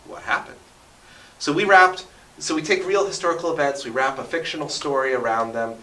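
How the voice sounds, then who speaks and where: A man's voice: on the high side, fairly quick, sharp tone. A talkative man in his thirties telling stories with enthusiasm and dramatic emphasis as a radio interview guest. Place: basement radio station studio. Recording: roomy echo, distant from the mic.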